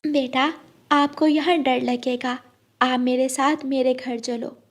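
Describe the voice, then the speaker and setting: Woman as a high-voiced, motherly sparrow aunt. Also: little pitch movement, slow. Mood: soothing. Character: kind motherly sparrow aunt, protective and worried. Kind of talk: cartoon character acting.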